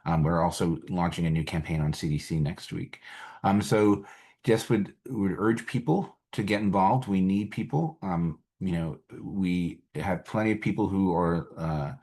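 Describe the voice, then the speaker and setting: A man's voice: deep voice, measured pace, a little mellow. A man speaking informally as a public health activist, urging people to get involved. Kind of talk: informal rally speech.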